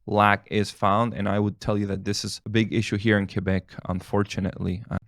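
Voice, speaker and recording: A man's voice: on the low side, little pitch movement, a little fast, a bit bright, dry recording, studio quality. A man in his thirties, a family physician and native Spanish speaker, talking casually and rambling, with restarts and chuckles. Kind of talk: casual talk to camera, answering questions.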